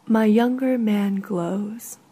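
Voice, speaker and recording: A woman reading a literary short story in a soft, measured way, with a husky, breathy voice. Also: medium pitch.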